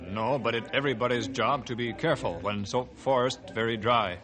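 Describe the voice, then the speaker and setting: Actor as plainspoken ranger in clipped broken English. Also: male voice, mid-range voice, a little fast, crowd noise, lightly acted. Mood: stern. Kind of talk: 1950s radio drama acting. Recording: noisy old radio drama recording.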